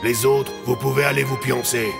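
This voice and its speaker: A man playing a decisive army colonel in an audio drama, gruff and curt, giving slangy orders. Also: medium pitch, fairly even intonation, normal speed, over music. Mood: matter-of-fact.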